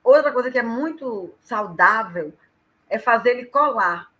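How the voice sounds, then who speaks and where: A woman guest on a live chat, talking lively and emphatically as she gives advice. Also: fairly high pitch, a little slow. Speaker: guest storyteller and author.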